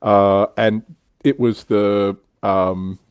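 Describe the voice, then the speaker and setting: Man, low pitch, dry close recording, casual livestream host, explanatory storyteller. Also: fairly even intonation, drawn-out words, a little mellow, smooth, no room sound. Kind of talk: casual chat on a hobby livestream.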